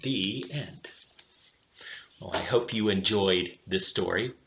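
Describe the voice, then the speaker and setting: A man hosting a children's read-aloud, narrating a storybook in a dramatic, deliberate way, in a roomy space. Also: low-pitched, gravelly, fairly bright tone, slight background noise.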